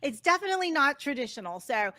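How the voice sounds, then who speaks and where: A woman's voice: high-pitched, very animated, fast, sharp tone, no echo, studio quality. A woman hosting a podcast interview, asking questions in an upbeat, enthusiastic way. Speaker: podcast host.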